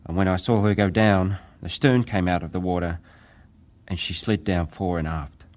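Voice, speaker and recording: A man acting as a young ship's wireless operator and survivor, giving testimony in a deferential, hesitant, measured way. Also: deep voice, fairly even intonation, darkish tone, close to an everyday voice. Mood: grave, restrained. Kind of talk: dramatized reading of hearing testimony.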